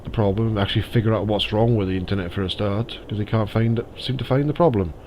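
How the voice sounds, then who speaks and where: A man's voice: on the low side, fast talker, a little mellow, slight background noise. A man with a slightly stuffy nose from a cold, chatting casually and thinking aloud as he plays a driving game. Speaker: gaming YouTuber. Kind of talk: casual gameplay commentary.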